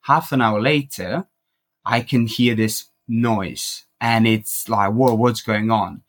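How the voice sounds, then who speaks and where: A man's voice: lowish voice, slow pace. Croatian-born man telling a travel story. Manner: animated, laughs at himself, acts out quotes. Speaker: podcast guest, consultant. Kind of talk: casual storytelling.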